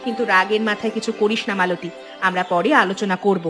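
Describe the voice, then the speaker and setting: A woman's voice: medium pitch, steady tone, fast talker, over music, lightly acted. A woman playing a sensible married elder sister from a village in a cartoon, with a firm, admonishing manner. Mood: concerned, placating. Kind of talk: cartoon dialogue.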